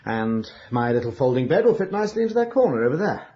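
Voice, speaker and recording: A man's voice: medium pitch, sing-song, fast, lightly acted. A man playing a studious, unworried young scholar with an educated British accent, precise and dryly dismissive, in a radio drama. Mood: cheerful, dismissive. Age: young adult. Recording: dull lo-fi radio drama recording.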